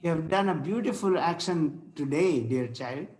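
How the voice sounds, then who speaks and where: A man's voice: on the high side, animated, normal speed, darkish tone, natural voice. A man playing a kindly, formal Victorian clergyman with a benign delivery in an amateur table read of a stage comedy, in echoey sound. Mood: benign, approving.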